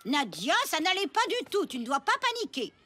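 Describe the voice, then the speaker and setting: A woman voicing a prim cartoon schoolteacher who tries to keep everyone calm, fussy, didactic and sing-song. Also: high-pitched, brisk, full character voice. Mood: gently scolding. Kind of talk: animated series dubbing.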